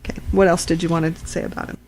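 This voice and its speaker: A woman's voice: lowish voice, flat delivery, very fast, darkish tone, slight background noise. A woman co-hosting a podcast in casual, conversational chat. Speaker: podcast co-host.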